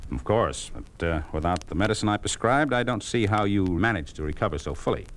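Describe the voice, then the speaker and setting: Low-voiced, expressive American radio doctor man. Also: a little fast, lightly acted. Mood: reserved. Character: principled, steady male physician in private practice. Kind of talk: 1950s radio acting. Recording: faintly noisy old radio recording.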